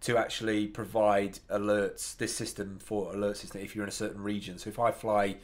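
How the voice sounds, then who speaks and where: A man's voice: on the low side, little pitch movement, brisk. A man co-hosting an app review show, chatting informally and with enthusiasm about tech. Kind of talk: casual tech review chat.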